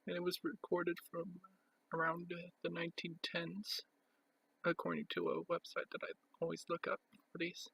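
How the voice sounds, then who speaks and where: A man giving a casual show-and-tell as a collector, speaking in a halting, piecemeal way with pauses. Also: high voice, completely flat delivery, vocal fry, sharp tone, husky, low-quality recording. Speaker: record collector presenting his collection.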